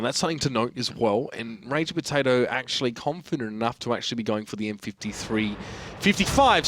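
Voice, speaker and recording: A man doing energetic play-by-play esports commentary. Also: mid-range voice, a little hiss or hum. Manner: energetic, fast, swooping emphasis.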